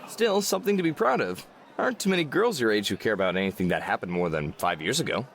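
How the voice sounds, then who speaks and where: A man's voice: fairly low pitch, animated, fast talker, a bit bright, a faint crowd, slight background noise. A man playing a kindly, easygoing, slightly weary older brother with a folksy manner in a visual novel. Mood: friendly.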